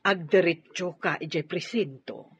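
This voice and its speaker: A woman's voice: lowish voice, a little slow, breathy edge. An actress playing a protective, stern middle-aged mother in a radio drama, giving firm advice. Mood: stern, indignant. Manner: firm, advising. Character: protective, stern mother urging her daughter to retaliate. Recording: dull lo-fi, dry radio drama audio.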